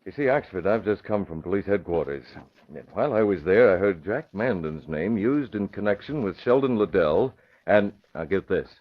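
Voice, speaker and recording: An actor playing a shrewd, probing newspaper publisher in 1940s radio style, with an inquisitive, purposeful manner. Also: male voice, deep voice, animated, normal speed. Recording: muffled old radio broadcast.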